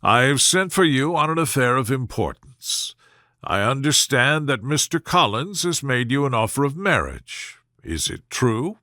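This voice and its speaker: A man voicing a dry, sardonic older father from a classic novel, speaking in calm, deliberate deadpan. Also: medium pitch, no echo, exaggerated voice. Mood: stern deadpan. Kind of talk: storybook character voice in classic novel reading.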